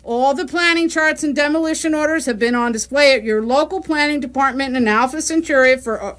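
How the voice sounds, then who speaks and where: A woman's voice: sits high, fairly quick, a bit bright. A woman playing an officious, condescending, impatient alien bureaucrat in a comic science fiction radio play. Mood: exasperated. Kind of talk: radio comedy drama acting.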